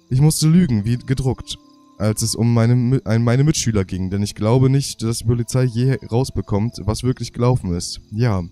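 A man's voice: fairly low pitch, warm tone. A young man with a cold and a half-blocked nose, reading game diary pages aloud for his let's play and stumbling over words. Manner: stumbling, self-correcting reading. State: congested with a cold.

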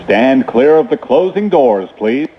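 A man's voice: medium pitch, animated, a little slow. A man reading scripted train announcements as a transit announcer, emphatic, instructive and courteous. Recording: muffled recording with train noise.

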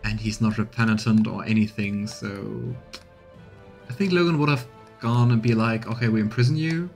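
A man's voice: fairly low pitch, fairly even intonation, normal speed, vocal fry, darkish tone, soft background music. A man in his thirties giving casual commentary as he streams a game playthrough. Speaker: let's play streamer.

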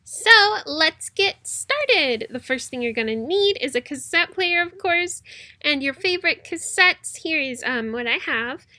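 Bright, high-pitched young woman. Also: animated, unhurried, no room sound. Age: young adult. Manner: giggly, informal, rambling. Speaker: YouTube host, cassette collector. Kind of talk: casual tutorial chat to viewers. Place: small bedroom, indoors.

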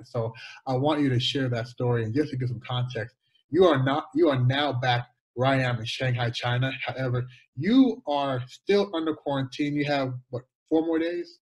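A man's voice: mid-range voice, a little mellow, rough recording. A man coaching and interviewing a guest over a video call from a room at home, upbeat and prompting with questions. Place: home room with pictures on wall.

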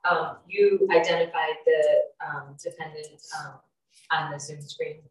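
Low-voiced woman in an echoey courtroom. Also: fairly even intonation, measured pace. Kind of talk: courtroom questioning. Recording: echoey courtroom, distant microphone.

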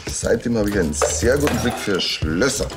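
An actor playing a chatty, shifty small-time tinkerer in a TV crime comedy, rambling and eager. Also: male voice, lowish voice, lively intonation, moderate pace, music in the background, close to an everyday voice. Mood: helpful.

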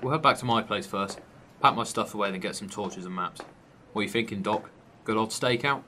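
A man's voice: fairly low pitch, fairly quick, bright, forceful tone, a little hiss or hum. A man playing an easygoing, helpful friend in a fan audio drama, casual and matey. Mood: practical. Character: easygoing, helpful male friend of a time traveller. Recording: amateur recording with music behind.

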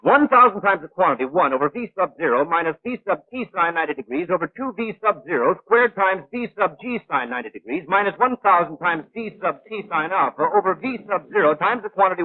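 An actor playing a brisk, know-it-all gunnery instructor in a 1940s animated training film, precise, clipped and didactic. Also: male voice, sits high, no room sound, phone-quality sound. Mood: pedantic. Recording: thin, band-limited 1940s film soundtrack.

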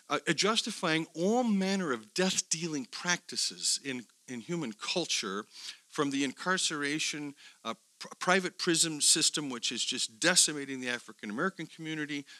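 A middle-aged man teaching a seminar, animated, asking his listeners questions and swinging between quiet asides and loud emphasis. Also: medium pitch, no echo, low-quality recording. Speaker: theology teacher. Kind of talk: seminar lecture to a live group.

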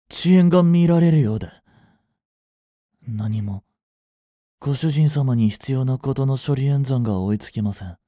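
A man playing a polite, devoted android servant overcome by feverish love in a Japanese romantic audio drama, speaking formally in breathy, halting phrases. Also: medium pitch, mellow, no room sound, studio quality. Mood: dazed, polite.

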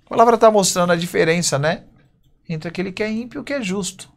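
A man in his thirties with a Brazilian accent, preaching a sermon at a church pulpit, reading verses slowly and then speaking in quick bursts. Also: high voice, vocal fry. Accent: Brazilian Portuguese. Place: church stage at a wooden pulpit.

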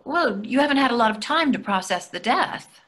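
Needling, reluctant wife for a play reading: older, bright, slightly high, defensive. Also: female voice, lively intonation, a little fast. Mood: evasive. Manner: hesitant, defensive. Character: anxious, needling, reluctant woman therapist and wife. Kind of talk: readers theatre over video call. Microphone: video call.

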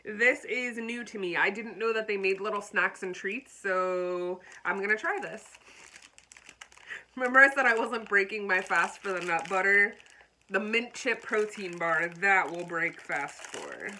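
A woman chatting brightly to her viewers in an unboxing vlog, a bit congested and froggy. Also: mid-range voice, expressive, a little slow, slight background noise, low-quality recording. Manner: bubbly, talks straight to viewers. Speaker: vlogger.

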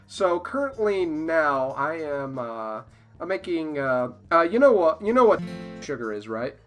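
Lively man talking haltingly. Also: fairly high pitch, soft background music. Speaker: podcast guest. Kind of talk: casual podcast chat.

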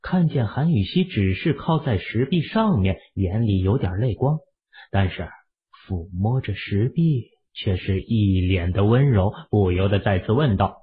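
A man with a raspy voice narrating an audio drama in a steady storytelling manner. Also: on the low side, unhurried, phone-quality sound.

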